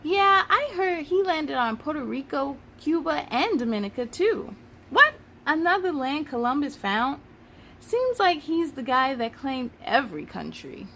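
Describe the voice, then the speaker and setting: A woman's voice: high-pitched, very animated, a little slow, bright, forceful tone, a little hiss or hum, full character voice. A woman voicing curious, outspoken schoolchildren in a children's storybook, eager and full of questions. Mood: surprised, questioning. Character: curious, outspoken young schoolchildren answering in class. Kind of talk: children's storybook read-aloud.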